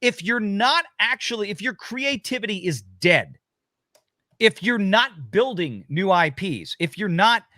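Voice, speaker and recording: A man with a high, bright voice reacts live as a commentator. He talks casually and sounds scornful and incredulous, in a close, dry recording. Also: expressive, a little slow, dry recording.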